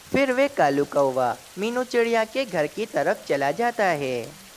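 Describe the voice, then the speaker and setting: A woman's voice: lowish voice, big pitch swings, unhurried, slight background noise, poor audio. A woman narrating a scripted children's story as its storyteller.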